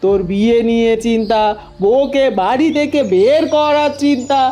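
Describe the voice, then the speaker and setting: A woman's voice: on the high side, normal speed, mellow, close to an everyday voice. A woman playing a bossy, conniving older village mother-in-law who scolds, in a cartoon fairy tale.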